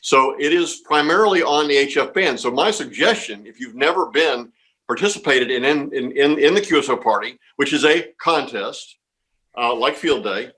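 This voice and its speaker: A man's voice: medium pitch, animated, moderate pace, sharp tone, poor audio. A man being interviewed as a contest organizer, explaining things informally and reassuringly, often repeating words and phrases.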